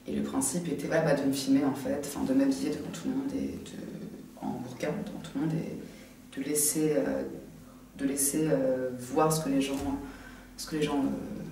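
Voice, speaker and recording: Young woman speaking haltingly in an echoey room. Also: sits low, dark tone. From France. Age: young adult. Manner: halting, thinking aloud with many pauses. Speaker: art student interviewee. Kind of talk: casual interview answers. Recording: very echoey, distant from the mic, faint noise.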